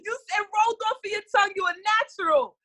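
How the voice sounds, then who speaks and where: A woman's voice: extremely high pitch, quick pace, pushed and bright. A woman from Harlem, chatting casually as a podcast guest and laughing often. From Harlem, New York. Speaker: guest, sketch artist.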